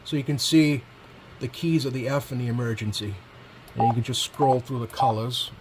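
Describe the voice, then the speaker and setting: A man's voice: mid-range voice, moderate pace. A man giving a casual hands-on product demo, speaking informally and a bit hesitantly as he thinks aloud. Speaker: product reviewer. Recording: clear steady background noise.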